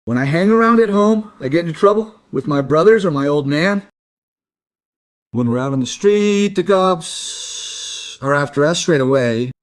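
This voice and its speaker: Casting: resentful jobless young man under questioning, male actor, fairly high voice, evasive and surly, crime radio play. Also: expressive, normal speed, natural voice. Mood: bitter, resentful. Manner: sullen, evasive. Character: defiant, resentful young unemployed working-class male suspect.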